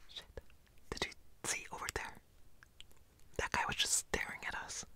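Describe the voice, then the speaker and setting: A woman playing a nervous, pouty student in an intimate roleplay audio, stammering and scolding in a breathy, hushed voice. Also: moderate pace, in a whisper. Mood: alarmed, accusing.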